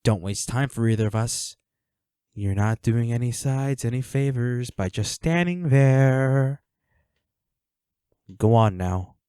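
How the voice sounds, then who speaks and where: Male actor as menacing villain, medium-pitched, flirty, taunting, unhurried, mock-sympathetic, very dry close recording, audio roleplay. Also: expressive, warm tone, clean tone, dry recording. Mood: commanding. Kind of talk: scripted audio roleplay acting addressed to the listener.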